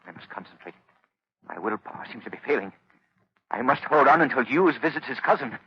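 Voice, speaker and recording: A man playing a grave, determined gentleman sleuth in a 1930s radio drama. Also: mid-range voice, expressive, a little fast, natural voice. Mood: desperate, determined. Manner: intense, brooding, dramatic. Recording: noisy, muffled 1930s radio recording.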